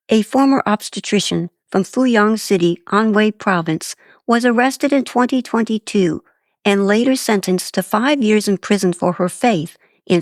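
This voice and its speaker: A woman reading a scripted news report in a measured, formal manner. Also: medium pitch, dry recording, studio quality. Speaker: news reader.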